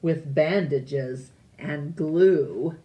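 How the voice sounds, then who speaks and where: A woman reading a children's picture book aloud, deliberately, with pauses between phrases. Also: sits low, mellow. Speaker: storytime reader.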